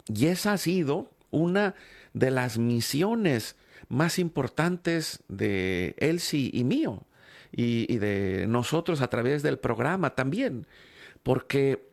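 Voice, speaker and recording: Gravelly, slow-talking man host. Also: medium pitch. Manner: hesitant, many fillers and restarts. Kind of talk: reflective talk with personal story.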